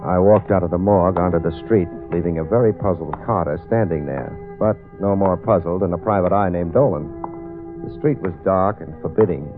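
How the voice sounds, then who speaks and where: Guy acting as low hardboiled radio detective, sardonic, tinny old recording w music under. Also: fairly quick, natural voice. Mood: brooding, narrating. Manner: sardonic, clipped, world-weary. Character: wry hard-boiled male private detective, narrating his case. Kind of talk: 1940s-50s radio detective drama acting and narration.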